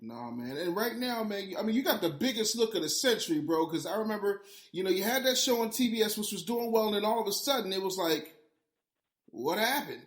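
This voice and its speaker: A man's voice: high voice, animated, brisk. A man hosting a podcast with hyped, slangy, effusive talk, moving between casual interview and ad reads. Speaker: podcast host. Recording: roomy, echoey sound.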